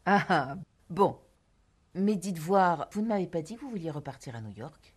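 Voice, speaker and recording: A pompous film teacher, husky, deep, sing-song, dismissive. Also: female voice, expressive, moderate pace. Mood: lofty. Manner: condescending, lofty, dismissive. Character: haughty, self-important female film teacher. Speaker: private film and art teacher. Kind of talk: film drama acting.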